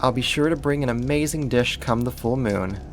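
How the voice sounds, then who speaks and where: A voice actor playing a sadistic, haughty half-spider monster in an ASMR horror roleplay, talking slowly and condescendingly in a theatrical way. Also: mid-range voice, soft background music, close to an everyday voice. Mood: polite, breezy.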